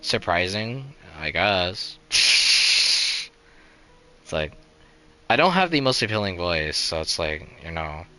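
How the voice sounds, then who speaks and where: A man's voice: low-pitched, very animated, takes it slowly, pauses often, bright, forceful tone, low-quality recording. A young man streaming a game live, chatting casually in a rambling, resigned way, full of "like" and "you know" and restarted sentences.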